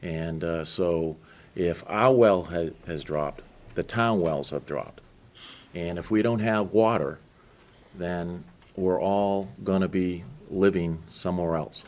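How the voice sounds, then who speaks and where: A man with a Boston accent talks at a town forum in a very deep, slow voice. He speaks haltingly and restarts his sentences. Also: a little mellow. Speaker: town board candidate. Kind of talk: candidate forum answer.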